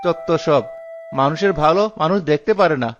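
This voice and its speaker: A stingy cartoon villager played by a man grumbles in a fairly high voice at a very quick pace. He is irritable, suspicious and always on the defensive. Loud music plays behind the muffled recording. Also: lightly acted. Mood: irritated. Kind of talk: cartoon character voice acting.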